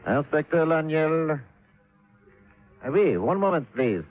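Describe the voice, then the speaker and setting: An actor playing a courteous French police inspector with a French accent, formal and precise, in old-time radio drama style. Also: male voice, on the high side, sing-song, takes it slowly, faint music behind. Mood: formal, measured. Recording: muffled old radio recording.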